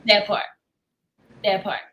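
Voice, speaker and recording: A woman's voice: slow pace, pushed and bright. A woman chatting casually as host of a book discussion, playful and slangy in her reactions. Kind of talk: casual book club chat.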